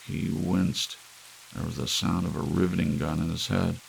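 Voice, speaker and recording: A man narrating a scripted story as an ASMR audiobook, with soft rain behind him. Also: deep voice, little pitch movement, normal speed, vocal fry. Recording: faint hiss with rain sound.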